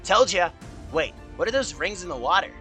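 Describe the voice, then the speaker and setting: A man plays a brash teenage cartoon hedgehog hero with a bright, forceful edge. The delivery swings widely in pitch, flippant and wisecracking but loyal. Light music runs underneath. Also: lively intonation, normal speed, full character voice. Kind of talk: animated fan-dub dialogue.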